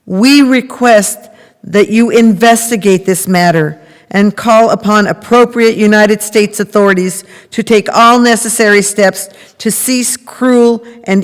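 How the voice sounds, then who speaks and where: A middle-aged woman reads a prepared text formally. Her voice is clean and resonant. It carries through a large reverberant sanctuary from a podium microphone. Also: mid-range voice. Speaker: readers theater performer. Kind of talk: formal scripted reading of testimony and letters. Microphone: podium microphone on a boom. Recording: reverberant hall.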